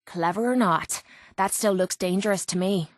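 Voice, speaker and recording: A woman's voice: mid-range voice, normal speed, no room sound, studio quality, close to an everyday voice. An actress playing a tough, sardonic young Irish woman, a blunt and slangy wasteland fighter in a video game. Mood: wry, streetwise. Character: tough, foul-mouthed, sardonic young female wasteland brawler companion. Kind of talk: video game character dialogue.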